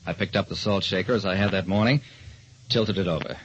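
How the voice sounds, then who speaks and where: A man's voice: sits low, fairly quick, highs cut off, slight background noise. A man narrating and hosting in 1940s radio style, on an old radio recording. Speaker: detective show star and quiz host.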